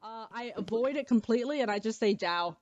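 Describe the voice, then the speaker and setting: A woman's voice: on the high side, brisk, darkish tone, dull audio. A woman from a Vietnamese-American family streaming and chatting casually with wry, dry humor. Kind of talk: casual livestream chat.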